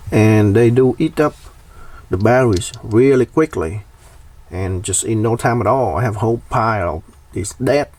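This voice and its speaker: A man's voice: medium pitch, a little mellow, recorded from a distance. A man presenting a casual, hands-on DIY explainer.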